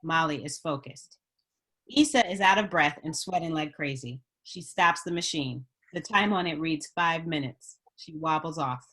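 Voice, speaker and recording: A woman's voice: low-pitched, normal speed, sharp tone. A woman reading screenplay stage directions as a narrator at a script table read.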